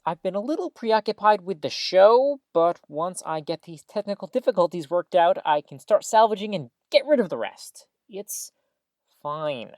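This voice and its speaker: An actress playing a defensive, grudging radio technician and co-host in an audio drama, with a casual, dry, reluctant way of talking. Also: female voice, on the low side, sing-song, moderate pace, a little mellow, no echo. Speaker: radio show co-host and board operator.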